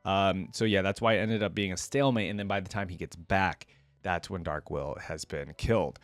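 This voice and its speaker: A man with a fairly low voice, recorded very dry with little room around it. He talks like a casual reaction creator, chatting through his thoughts and reading story text aloud in the same easy register. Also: fairly even intonation, normal speed, no room sound.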